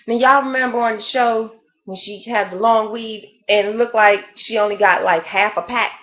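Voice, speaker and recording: A woman's voice: on the high side, steady tone, normal speed, muffled recording. A woman in her late thirties giving a blunt, profane, rambling gossip review of a reality show in a casual chat. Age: 30s.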